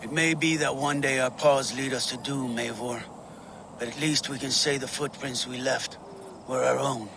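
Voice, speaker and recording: An actor playing a proud, blunt and brooding Viking warrior prince in a video game. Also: male voice, medium pitch, fairly even intonation, moderate pace, vocal fry, background noise, lightly acted. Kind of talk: video game cutscene acting.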